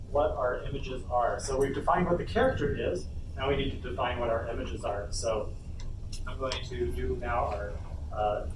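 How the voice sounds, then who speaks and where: A man giving an informal live workshop tutorial, thinking aloud as he works through the steps on a computer. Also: medium pitch. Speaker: workshop presenter. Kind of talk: live software tutorial, explaining while typing. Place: convention panel room. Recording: distant roomy mic with clear background noise.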